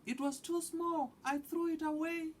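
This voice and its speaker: A man's voice: fairly even intonation, unhurried, distant mic, slight background noise. A man voicing a defiant young grandson in a children's storybook, protesting indignantly. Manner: high-pitched protesting.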